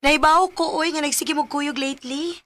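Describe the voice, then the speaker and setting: High-voiced, teasing Cebuano gal pal. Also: female voice, steady tone, normal speed, fairly bright tone, a little hiss or hum. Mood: teasing, prying. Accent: Cebuano Filipino. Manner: teasing, prodding. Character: nosy, teasing female friend, matchmaking confidante. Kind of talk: radio drama acting in Bisaya.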